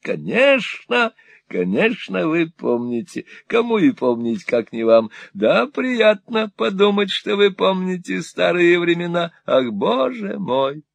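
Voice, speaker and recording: A sly old moneylender with a high, cackling voice, all wheedling flattery and gushing cries. The sound is dry and close, with no room around it. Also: male voice, takes it slowly, vocal fry, no echo, full character voice. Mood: fawning. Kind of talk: audiobook reading.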